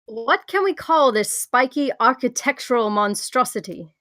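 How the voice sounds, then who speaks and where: A woman's voice: fairly high pitch, lively intonation, moderate pace, pushed and bright, no room sound, studio quality. A woman playing a brash, bossy, sardonic adventurer with a folksy manner at a screenplay table read. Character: bossy, brash young female adventurer barking orders.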